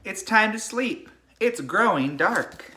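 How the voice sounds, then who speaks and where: A man speaking as a teacher to a young class, sharing a picture book in an animated storybook read-aloud style, with casual chat. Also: high-pitched, takes it slowly, a little hiss or hum.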